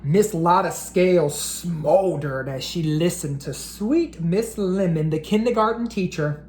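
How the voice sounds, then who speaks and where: A woman reading a children's picture book aloud in an expressive, unhurried storyteller's voice. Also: on the low side, echoey room, slight background noise.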